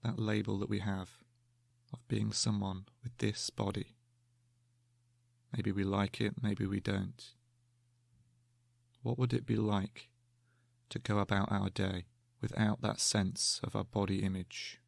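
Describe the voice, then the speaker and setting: Low, gravelly male meditation guide. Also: dry recording, studio quality. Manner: calm, gentle, measured with long pauses. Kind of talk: guided meditation, slow spoken instruction.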